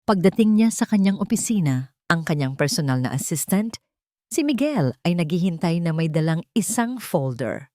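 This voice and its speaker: Low-voiced woman reading a drama. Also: sing-song, moderate pace, a little mellow, no echo, studio quality. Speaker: storyteller. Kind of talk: scripted narration of a love story.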